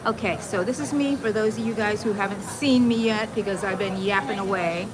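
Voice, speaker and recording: A woman's voice: mid-range voice, a little fast, rough recording. A woman hosting a casual crochet live stream, chatting and reading viewer comments aloud, often laughing. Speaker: crochet channel host. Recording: music playing in the background.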